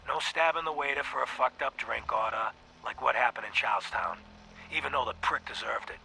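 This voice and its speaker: A sardonic mob boss. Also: male voice, medium pitch, brisk, gravelly. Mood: smooth. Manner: calm, sardonic gangster drawl. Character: smooth-talking male Boston mob boss, cold and menacing. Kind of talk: recorded audio log in a video game. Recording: noisy game audio capture.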